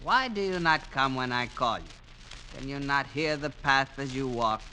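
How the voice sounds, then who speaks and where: Piercing, stern blind villager. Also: male voice, mid-range voice, lively intonation, normal speed, pushed and bright, clean tone. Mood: stern reproof. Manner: commanding, archaic stilted phrasing. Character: stern, scolding blind villager, male, commanding. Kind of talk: 1940s-50s radio drama acting. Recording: noisy old radio broadcast.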